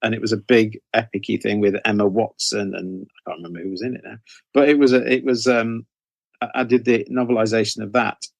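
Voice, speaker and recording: A man's voice: lowish voice, steady tone, a little fast, vocal fry, dry recording. A middle-aged man with a British accent, telling anecdotes as an interview guest in a rambling, self-interrupting way.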